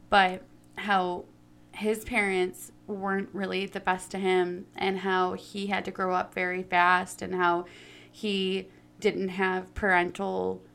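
A young woman talks openly about her own experiences in a voice of middling pitch. She is earnest and emphatic and draws out the words she wants to stress. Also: gravelly, a bit bright. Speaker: personal storyteller. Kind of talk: candid personal talk.

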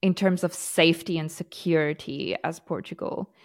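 A woman's voice: low-pitched, moderate pace. A woman from South Africa with a South African accent tinged with American, co-hosting a casual podcast chat and laughing easily. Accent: South African, partly American. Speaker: podcast co-host.

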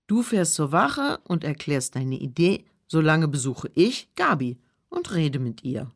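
Animated, fairly low-voiced woman. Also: unhurried, exaggerated voice. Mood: hopeful. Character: hopeful, practical, persistent woman helper. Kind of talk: German children's audio drama acting.

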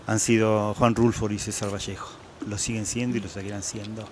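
A man's voice: on the low side, little pitch movement, fairly quick, darkish tone, a little hiss or hum. A man in his sixties with an Argentine accent, a guest writer talking about his favorite authors at a book fair, reflective, repeating words for emphasis, with many hesitation sounds. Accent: Argentine Spanish. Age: 60s.

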